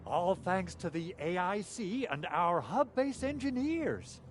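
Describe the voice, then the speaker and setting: An actor playing an eager, earnest male engineer in a video game, explaining things in an animated way, with music behind him. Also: high-pitched, takes it slowly, slight background noise, heavily acted. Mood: instructive, earnest.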